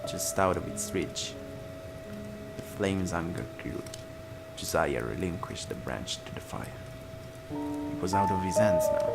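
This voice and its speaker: A man hosting a game playthrough, reading a visual novel aloud like a dramatic storyteller and sometimes dropping to a breathy hush. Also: low-pitched, rough recording. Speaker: let's play host. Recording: music playing loudly behind the voice. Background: game music.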